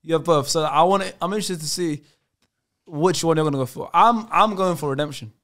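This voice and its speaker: A young man guesting on a casual podcast, teasing and animated, speaking into a studio mic. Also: a little high, brisk. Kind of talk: casual chat. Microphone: studio mic on a boom arm.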